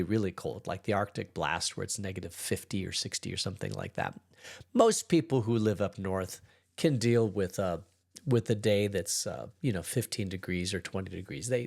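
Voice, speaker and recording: A middle-aged pastor with a hoarse, congested voice, leading a relaxed, rambling Bible study. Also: male voice, fairly low pitch, normal speed. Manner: relaxed, rambling, chuckles between thoughts. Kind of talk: casual daily Bible study talk.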